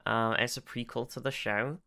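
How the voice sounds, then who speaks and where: A podcast co-host with a bright, forceful voice at a medium pitch talks casually about television. The delivery is that of an eager fan, halting and repetitive, often restarting a thought midway. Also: fairly even intonation, smooth. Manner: hesitant, often repeats words and restarts. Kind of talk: casual fan discussion of a TV show.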